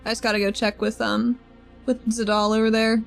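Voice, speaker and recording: A woman's voice: a little high, level tone, fairly quick, gravelly. A woman playing in a tabletop roleplaying game, describing her moves in casual, informal chat. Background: faint music.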